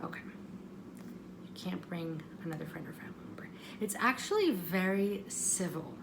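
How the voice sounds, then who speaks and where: Female vlogger, casual and digressive, gravelly fry, self-interrupting, with clear background noise. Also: on the low side, expressive, moderate pace. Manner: self-interrupting, repeats phrases for emphasis. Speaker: vlogger giving audition advice. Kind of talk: casual rambling advice chat.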